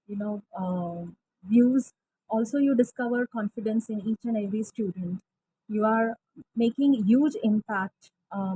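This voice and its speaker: A woman, a college French teacher, giving an earnest testimonial with frequent pauses and fillers. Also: mid-range voice, dark tone, slight background noise, poor audio. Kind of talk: recorded testimonial.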